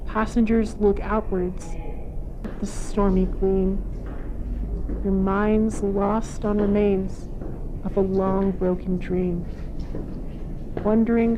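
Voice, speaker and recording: A woman reciting poetry in a measured, unhurried delivery. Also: mid-range voice, natural voice. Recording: noisy ambient background bed.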